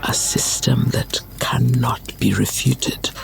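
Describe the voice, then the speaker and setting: A wise old clan elder in a motion comic, speaking slowly with a gravelly creak. He is solemn and tender, a reflective storyteller, with music clearly behind him. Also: mid-range voice, fairly even intonation, whispered, rough recording. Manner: slow, reflective storytelling with breathy phrase endings. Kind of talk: dramatic narration in a motion comic.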